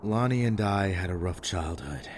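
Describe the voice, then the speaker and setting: A man acting in an audio drama as a bitter, wounded, foul-mouthed survivor with a halting, raw delivery. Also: deep voice, slight background noise, natural voice. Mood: halting, pained.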